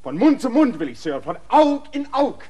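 An actor playing a stubborn land surveyor in a radio play, insistent and emphatic. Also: male voice, very high voice, big pitch swings, moderate pace, mellow.

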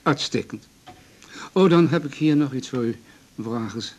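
A man's voice: medium pitch, moderate pace, a little mellow, natural voice. An actor as a courteous, formal male notary. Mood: formal, patient. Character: polite, formal male notary, patient and businesslike. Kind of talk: naturalistic 1980s radio drama acting.

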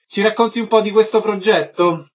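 A man's voice: high-pitched, a little fast. A man hosting a radio interview, putting prepared questions and introductions to guests. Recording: dull muffled sound, faint noise.

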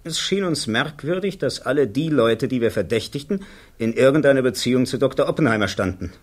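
A man's voice: mid-range voice, animated, a little fast, lightly acted. Guy acting army security colonel on the stand, radio play, mid voice, blunt n sure of himself, short factual answers. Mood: matter-of-fact. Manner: matter-of-fact, clipped, confident answers. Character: blunt, self-assured male army colonel, security officer.

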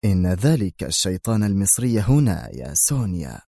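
A man voicing a gruff, cold older mafia boss in an audiobook, speaking slowly and heavily. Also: deep voice, big pitch swings, mellow, dry recording, studio quality, heavily acted. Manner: slow, heavy, menacing. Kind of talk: audiobook character reading.

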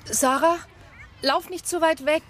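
A woman's voice: sits high, a little slow. An actress in a German radio crime drama playing a protective mother shaken by her daughter's kidnapping. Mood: caring, watchful.

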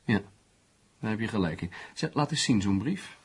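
An actor playing a skeptical, teasing newspaper journalist who reasons things out in a sardonic way, in a Dutch radio play. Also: male voice, fairly low pitch, lively intonation, moderate pace, slight background noise, close to an everyday voice. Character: skeptical, teasing male newspaper journalist, analytical amateur sleuth.

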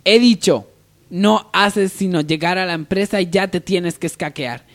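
A man's voice: high-pitched, moderate pace, fairly bright tone, voice pushed up. A man playing a domineering company boss in a parody radio soap, imperious and melodramatic. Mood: angry, scolding. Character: stern, domineering male coffee company boss.

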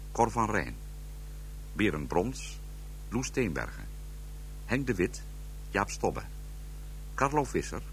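Deep-voiced male radio announcer. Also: creaky, fairly bright tone, slight background noise. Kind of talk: formal scripted credits announcement.

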